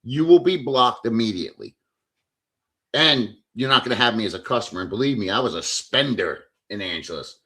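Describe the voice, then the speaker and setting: A man's voice: mid-range voice, animated, brisk, bright, forceful tone. Blunt, swearing male livestream host. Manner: blunt, swears freely, rambling and chatty. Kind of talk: casual livestream chat reading comments.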